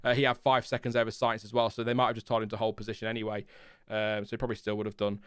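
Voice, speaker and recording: Chatty British man, smooth voice. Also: fairly low pitch, completely flat delivery, very fast, no echo, studio quality. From Britain. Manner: chatty, rambling, thinking aloud with fillers. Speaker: host. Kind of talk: casual live race reaction.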